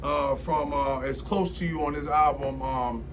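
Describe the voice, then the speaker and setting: A man's voice: fairly high pitch, steady tone, measured pace, recorded from a distance, noisy background. A man in his forties telling stories in a casual studio conversation, rambling with lots of fillers and restarts. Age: 40s. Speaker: guest.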